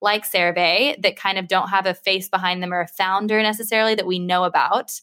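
A woman's voice: medium pitch, steady tone, a little fast, bright, forceful tone, clear, resonant tone. An American woman hosting an interview show, friendly and upbeat as she introduces and questions her guest. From United States. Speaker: podcast host. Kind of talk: interview host chat.

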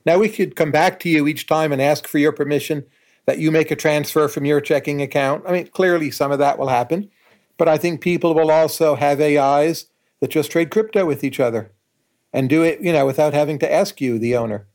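A man in his sixties speaks as an interview guest. His voice sits slightly high for a man, and he makes his points in short, firm, measured statements. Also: a little mellow. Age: 60s. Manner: measured, confident, declarative. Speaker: guest, professor. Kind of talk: conversational interview.

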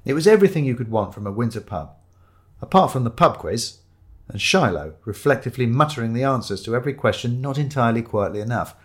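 A man's voice: medium pitch, lively intonation, fast talker. A man narrating a comic first-person story in a wry, dry storytelling manner. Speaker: audiobook narrator.

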